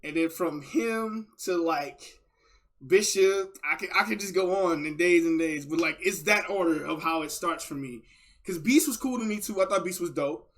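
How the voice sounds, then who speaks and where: A man streaming casual reaction chat, talking in a rambling, slangy, excitable fan style. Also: a little high, normal speed. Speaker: streamer reacting to game voice lines.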